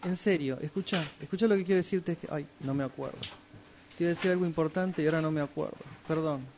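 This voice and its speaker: A man with an Argentine accent performs a drama exercise. His voice is on the high side for a man. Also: quick pace, darkish tone, slight background noise. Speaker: radio drama workshop student. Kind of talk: acted dialogue exercise.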